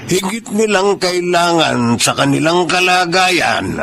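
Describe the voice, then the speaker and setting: Gravelly, indignant grandfather. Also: high-pitched, lively intonation, slow, pushed and bright, slight background noise, full character voice. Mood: concerned, indignant. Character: concerned, indignant older father-in-law and grandfather. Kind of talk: Filipino radio drama acting.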